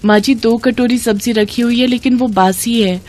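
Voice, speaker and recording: A woman's voice: fairly high pitch, fairly even intonation, normal speed, a little mellow, natural voice. A woman voicing a meek, dutiful young daughter-in-law, speaking politely and hesitantly in a storybook tale.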